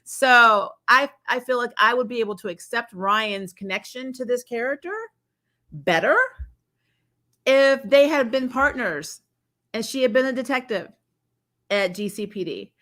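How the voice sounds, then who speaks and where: A woman's voice: on the high side, unhurried, pushed and bright. A woman hosting a fan review, giving casual, blunt, exasperated commentary with some mild swearing. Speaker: host of a fan review channel. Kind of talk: casual opinionated trailer commentary.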